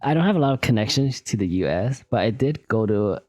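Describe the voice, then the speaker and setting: Very low, husky American-accented woman. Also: expressive, fairly quick, a little mellow, dry recording, faint music behind. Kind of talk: casual chat.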